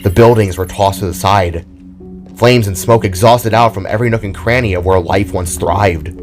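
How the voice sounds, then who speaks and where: A man with a low voice narrates a scripted audio story. He reads descriptive prose as the narrator, with faint music underneath. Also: normal speed. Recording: background music behind the voice.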